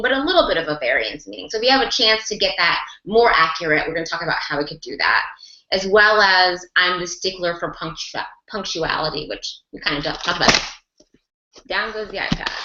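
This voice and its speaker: A woman leads an online class for interpreters, encouraging them and walking through example after example. Her voice is bright and forward. It comes through a dull, echoey video call line. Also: lowish voice, lively intonation, brisk. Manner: encouraging teacher, talks through examples, rephrasing and repeating. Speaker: ASL interpreting mentor. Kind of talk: online group class discussion.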